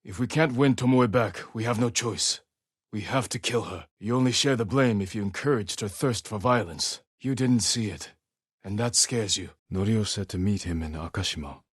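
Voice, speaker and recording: A man playing a stoic, determined samurai warrior in a video game, with a husky, hushed voice and a terse, grave, measured delivery. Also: fairly low pitch, no echo, clean studio sound. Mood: urgent, insistent, then stern, measured. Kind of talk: dramatic video game voice acting.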